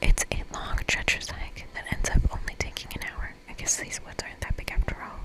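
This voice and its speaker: A woman's voice: a little fast, low-quality recording. A woman doing whispered ASMR, reading a video game's narration aloud with informal asides. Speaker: ASMR creator.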